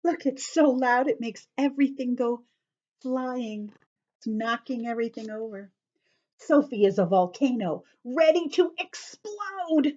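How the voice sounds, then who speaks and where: A woman's voice: fairly high pitch, lively intonation, a little slow, mellow, highs cut off. A woman reading a picture book aloud to young children, explaining words as she goes and sometimes dropping to a whisper. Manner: drops to a whisper at times. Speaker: children's storyteller.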